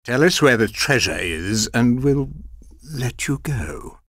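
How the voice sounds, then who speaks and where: A man's voice: mid-range voice, sing-song, slow pace, fairly bright tone, no echo, studio quality, exaggerated voice. A man voicing a haughty, cold, scheming villain in a video game. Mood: cold threat.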